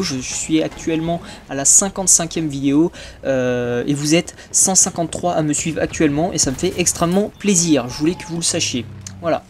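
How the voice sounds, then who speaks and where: Hesitant young man, slightly high voice. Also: slight background noise. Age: young adult. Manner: hesitant, many filler sounds. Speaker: YouTube gaming channel host. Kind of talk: casual channel update voice-over.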